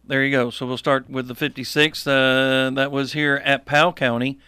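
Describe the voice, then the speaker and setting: A man's voice: mid-range voice, little pitch movement, moderate pace, bright, forceful tone, clear, resonant tone, dry recording, clean studio sound. A man co-hosting a local sports radio show, reciting game scores and correcting himself as he goes. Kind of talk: game recap commentary. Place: radio studio. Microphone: studio microphone.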